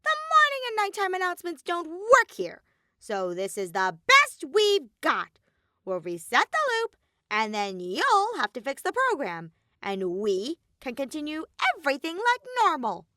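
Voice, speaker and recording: Woman performing a robot bear villain, cartoonish, bossy, snappy, sharp bright tone, extremely animated pitch, audiobook character. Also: a little slow, dry recording, clean studio sound, full character voice. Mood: bossy, irritated.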